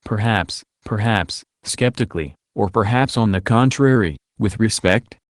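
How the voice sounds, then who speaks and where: A man voicing an interviewer in a translated voice-over, asking questions in measured, piecemeal phrases. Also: on the low side, no room sound, studio quality, lightly acted. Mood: measured, inquiring. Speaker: dubbing voice of an interviewer.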